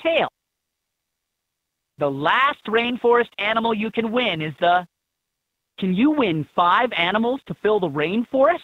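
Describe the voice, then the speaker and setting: A woman with a husky voice reading scripted prompts as an encouraging guide in a children's game, on dull toy audio. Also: mid-range voice, expressive, measured pace, long pauses, no echo.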